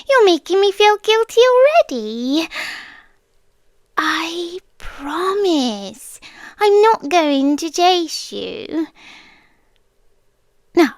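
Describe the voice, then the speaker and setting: Woman acting as girlish deer-spirit monster, British accent, sweetly menacing, teasing, very lively intonation, dry close-mic ASMR, soft whispers. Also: very high voice, dry recording, heavily acted. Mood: coy menace. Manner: coy, teasing, breathy whispers mixed with soft speech. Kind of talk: ASMR roleplay. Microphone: close microphone.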